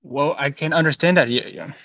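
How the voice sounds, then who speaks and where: Man, panel discussion, halting, lots of fillers, dry, muffled lo-fi sound. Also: fairly high pitch, dry recording. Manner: halting, many fillers and restarts.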